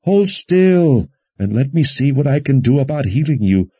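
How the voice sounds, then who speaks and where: A man's voice: lively intonation, takes it slowly, warm tone, breathy edge, dry recording, heavily acted. A man voicing a fretful, protective woman healer in an audiobook fantasy story, anxious and rambling. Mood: tearful, admonishing. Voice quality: shrill.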